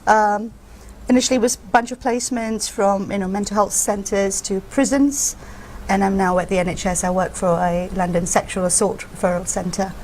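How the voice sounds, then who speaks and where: A woman psychotherapist, raised in Malaysia, speaks as a guest in an even, measured tone. She talks frankly and plainly, with a mid-range voice. There is a faint hiss in the background. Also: mid-range voice, low-quality recording. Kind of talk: interview guest, explaining her work.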